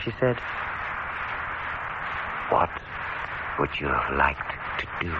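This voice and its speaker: An actor playing a vengeful, softly menacing male dentist in a radio horror drama, savouring his cruelty in deliberate, gloating phrases with long pauses. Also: a bass voice, sing-song, heavily acted. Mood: gloating, intimate. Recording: muffled, very noisy old radio recording.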